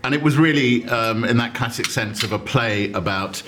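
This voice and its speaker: A man's voice: medium pitch, normal speed, a bit bright. A man hosting an interview over lunch in a restaurant, recapping events and prompting his guest with questions. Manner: prompting, recapping, offers details. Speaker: interviewer host.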